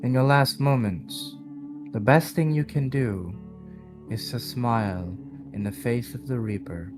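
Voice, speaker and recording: A man voicing a solemn, cryptic and sympathetic mysterious woman in an audio drama, speaking in formal, measured phrases. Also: medium pitch, close to an everyday voice. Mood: gently encouraging. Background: guitar music.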